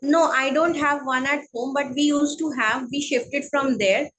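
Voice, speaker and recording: Woman, Bengali accent, high voice, hesitant, halting speech, interview guest. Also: steady tone, a bit bright, a little hiss or hum. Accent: Bengali-accented English. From India. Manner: hesitant, many fillers, slow. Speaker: guest, counselling psychologist. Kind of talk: online interview, casual chat.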